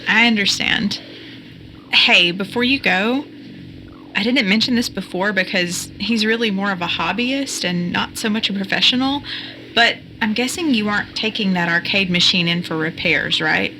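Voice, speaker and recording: An actress playing a supportive, practical friend in an audio drama, speaking in a casual, tactful, conversational way. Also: female voice, medium pitch, moderate pace, bright, forceful tone, a little hiss or hum, lightly acted, rough recording.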